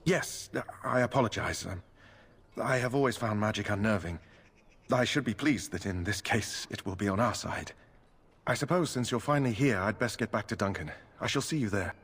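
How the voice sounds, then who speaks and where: An actor playing a polite, awkward knight in a fantasy game, courteous, formal and a little hesitant. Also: male voice, fairly low pitch, normal speed, fairly bright tone, slightly rough. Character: polite, awkward knight recruit, uneasy around magic. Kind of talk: scripted fantasy game dialogue.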